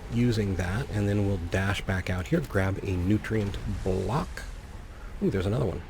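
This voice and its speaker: A man's voice: fairly low pitch, vocal fry, a little mellow, slight background noise. A man hosting a let's play, commentating and reading game text aloud with wry, playful asides. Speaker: gaming video host.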